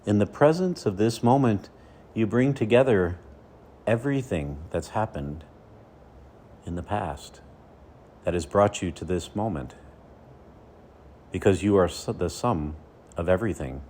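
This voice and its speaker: Male meditation coach, low and soft-toned, unhurried soothing phrasing with deliberate gaps, faint noise behind. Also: lively intonation. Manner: slow, soothing, with deliberate pauses between phrases. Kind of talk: guided meditation.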